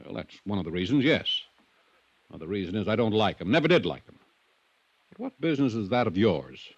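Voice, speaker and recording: A man's voice: fairly low pitch, big pitch swings, fairly quick, slightly rough, no echo, full character voice. An actor playing a middle-aged American ranch owner, a curt, irritable, combative father, in a 1950s radio drama. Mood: indignant, defensive. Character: gruff, defensive, hot-tempered ranch owner and stern father. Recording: dull, dry vintage radio recording.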